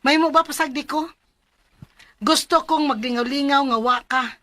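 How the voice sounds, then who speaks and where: A woman's voice: sits high, normal speed, loud music behind the voice, natural voice. An actress with a Cebuano Filipino accent playing a hurt, headstrong young girlfriend in an emotional radio drama. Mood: firm, fed up. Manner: emotional, assertive. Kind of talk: Philippine radio drama acting.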